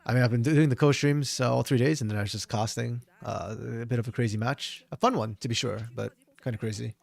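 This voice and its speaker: A man with a slightly hoarse voice, reviewing a match on his own in a casual, think-aloud way with frequent restarts. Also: medium pitch, quick pace, a little mellow, no room sound. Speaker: esports caster doing a solo VOD review. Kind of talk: casual solo game analysis commentary.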